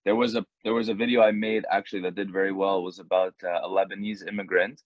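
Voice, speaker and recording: A young man from Canada, a guest on a video call, telling stories in a relaxed, chatty way. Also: low-pitched, fast talker, dry recording. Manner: relaxed and chatty, acts out quoted exchanges. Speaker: guest, content creator. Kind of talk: casual storytelling in an interview. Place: indoors, small plain room. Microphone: earbuds on a video call. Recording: dull, dry video call audio.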